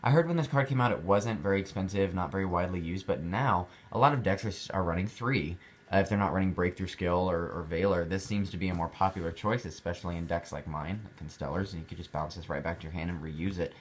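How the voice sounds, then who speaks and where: A man's voice: low voice, quick pace. A young man chatting casually about his collectibles, rambling with fillers and restarts. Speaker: card collector showing his cards. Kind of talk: casual vlog chat.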